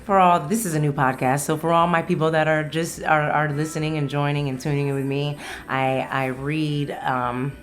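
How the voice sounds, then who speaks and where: A woman's voice: sits low, steady tone, normal speed. A woman hosting a solo podcast in a casual, candid, playful way, swearing freely. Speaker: podcast host.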